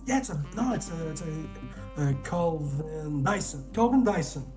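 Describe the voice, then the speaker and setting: A man's voice: on the high side, expressive, normal speed, a little mellow, soft background music. A man from Canada, a guest reviewer in casual film chat, talking animatedly, often restarting sentences and swearing.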